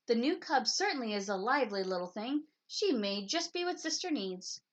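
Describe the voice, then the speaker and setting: A woman voicing a caring, gently concerned mother bear in a children's storybook. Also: a little high, sing-song, normal speed. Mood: pleased.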